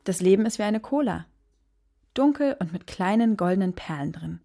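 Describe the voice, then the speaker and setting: Mellow, wistful musing woman. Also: medium pitch, animated, normal speed, creaky. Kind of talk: radio play monologue.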